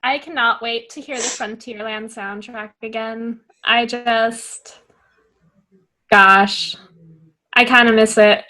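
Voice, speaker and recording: A woman's voice: fairly high pitch, steady tone, takes it slowly, pushed and bright, low-quality recording. A young adult woman co-hosting a podcast, chatting casually with friends, laughing often and using many fillers. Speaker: podcast co-host. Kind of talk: casual chat.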